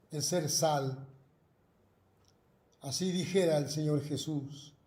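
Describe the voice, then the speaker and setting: A man's voice: on the high side, dark tone, reverberant. A man from Argentina, a pastor, preaching and praying earnestly into a self-recorded video, repeating his phrases fervently. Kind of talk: recorded sermon and prayer.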